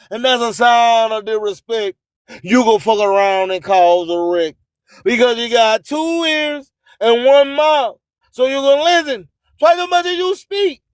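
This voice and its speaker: A Southern US man delivers a hype radio monologue in a very high-pitched, clear voice. He takes his time, proclaiming each phrase emphatically like a preacher. His delivery is rhythmic, rhyming and full of boasts. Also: no room sound, studio quality. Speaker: internet radio host.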